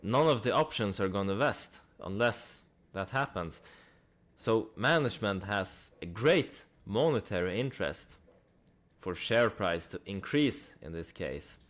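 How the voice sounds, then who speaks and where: A man's voice: on the low side, lively intonation, measured pace, fairly bright tone. A man giving casual investment commentary in a conversational way, with rhetorical questions and dry asides. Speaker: host of a stock analysis channel.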